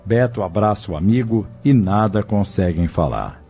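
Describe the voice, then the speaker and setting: A man's voice: fairly low pitch, lively intonation, moderate pace, mellow. A man with a Brazilian accent narrating an audio novel in formal, literary storytelling style. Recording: dull lo-fi audio with music behind.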